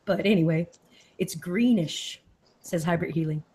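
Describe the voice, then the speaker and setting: A woman's voice: fairly low pitch, a little slow, warm tone, slightly rough. A woman from Austin, Texas, hosting a casual live chat and reading viewer comments aloud in a chatty, easily sidetracked way.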